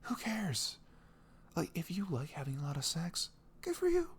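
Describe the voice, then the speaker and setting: A young man playing a sweet, caring boyfriend in an intimate ASMR roleplay, rambling casually in slangy, animated speech. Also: fairly high pitch, normal speed, slight background noise. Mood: earnest, supportive. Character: sweet, caring, chatty boyfriend on a morning after. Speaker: audio roleplay voice actor.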